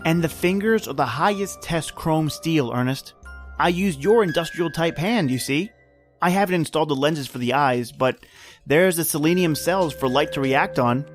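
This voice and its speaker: A man playing an obsessed, defensive young inventor in an old-time radio horror drama, earnest and halting when pressed. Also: a little high, faint music behind, natural voice. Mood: proud, eager.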